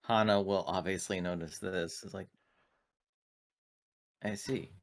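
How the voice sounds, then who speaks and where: A man takes part in a tabletop roleplaying game. His voice is on the low side. He talks casually, switching between rules and describing his character's actions. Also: fairly even intonation, a little slow.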